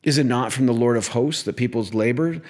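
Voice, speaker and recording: A man reading scripture aloud as an audio Bible narrator, with solemn, deliberate phrasing and pauses. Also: mid-range voice, darkish tone.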